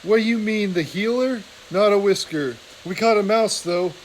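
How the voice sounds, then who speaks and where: Gruff stoat soldiers bark orders in loud, raised voices, as a man voices them. Bossy and rough, they sound like storybook barracks bullies. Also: sits high, normal speed, a little hiss or hum, voice pushed up, natural voice, rough recording. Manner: barking calls and orders.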